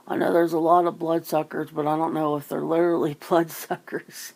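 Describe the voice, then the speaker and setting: A woman's voice: low-pitched, little pitch movement, moderate pace, gravelly, a little mellow. A woman in a casual, rambling chat, musing informally as she hosts. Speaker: ASMR channel host chatting with a guest.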